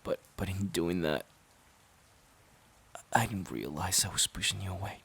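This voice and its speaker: A man's voice: deep voice, expressive, measured pace, creaky, fairly bright tone, breathy edge, heavily acted. A man playing a wounded, devoted boyfriend who pleads hesitantly and earnestly in an intimate audio drama. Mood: regretful, vulnerable. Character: wounded, devoted boyfriend desperate to save relationship.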